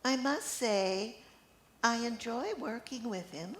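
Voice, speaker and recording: A woman's voice: on the high side, takes it slowly. An older woman reading the part of a sociable friend catching up, in an amateur play. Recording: echoey room with faint noise.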